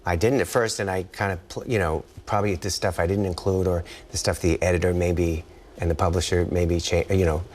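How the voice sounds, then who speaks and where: Male author guest, American, very low voice, rambling casual delivery, noticeable background noise. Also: fairly even intonation, fairly quick, a little mellow. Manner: rambling, trails off mid-thought. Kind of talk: casual interview chat.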